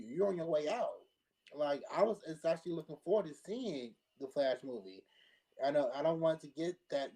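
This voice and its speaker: A man's voice: medium pitch, dark tone, low-quality recording. A man giving casual conversational commentary as a panelist, talking in an earnest, explanatory way. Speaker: panelist on a pop culture talk show.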